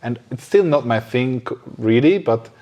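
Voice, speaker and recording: A man interviews a guest in a relaxed chat format. His voice has an average male pitch. He talks casually and comes across as agreeable. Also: moderate pace, highs cut off. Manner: agreeable, frequent affirming responses. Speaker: podcast interviewer.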